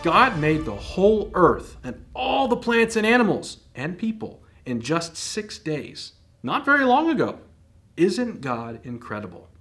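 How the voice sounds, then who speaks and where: A young man teaching a scripted Bible lesson to children in a studio, animated and asking the kids questions. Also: high-pitched, unhurried, faint music behind. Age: young adult. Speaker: church children's program teacher. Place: studio with green-screen background.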